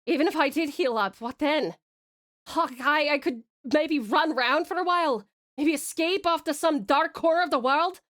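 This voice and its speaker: A woman's voice: high-pitched, fairly bright tone. A woman voicing a dying, battle-weary woman in a storybook tale, wry and resigned, with an attempted Scottish accent and blunt old-fashioned speech. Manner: archaic dialect, weary and blunt.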